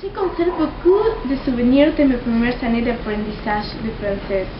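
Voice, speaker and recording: High-voiced teenage girl in an echoey room. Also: sing-song, normal speed. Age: teens, 17. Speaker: student of French. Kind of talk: prepared personal testimony in French as a learner. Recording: muffled, echoey, noisy amateur recording.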